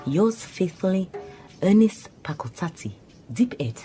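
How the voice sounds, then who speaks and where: An actress playing an earnest, deferential secretary in a radio drama, formal and careful, with a Southern African accent. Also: female voice, lowish voice, very animated, unhurried, dark tone, faint music behind. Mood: solemn reading. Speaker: supporting actor in a radio drama.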